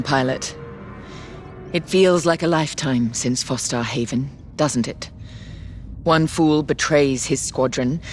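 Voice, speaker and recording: A woman playing a stern, composed Imperial captain, speaking in a clipped, formal, commanding manner in a sci-fi game. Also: low-pitched. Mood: cold resolve. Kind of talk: cinematic sci-fi game acting.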